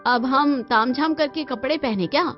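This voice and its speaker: Woman playing a brash, high-pitched young daughter-in-law. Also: moderate pace, close to an everyday voice. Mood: rude, whining. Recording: dull lo-fi sound with music behind.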